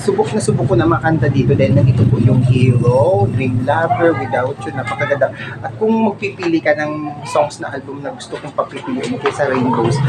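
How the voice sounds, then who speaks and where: A middle-aged Filipino man giving an informal show-and-tell to camera, speaking politely and haltingly in a small home room. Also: medium pitch. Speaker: vlogger showing a personal collection. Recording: very noisy home phone recording.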